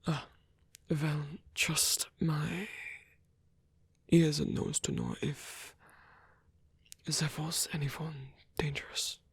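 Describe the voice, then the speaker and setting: A performer playing a lonely old male vampire in an ASMR roleplay, tender and wistful, speaking in a breathy, husky whisper with halting delivery. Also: mid-range voice, fairly even intonation, no room sound, heavily acted. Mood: hushed, guarded.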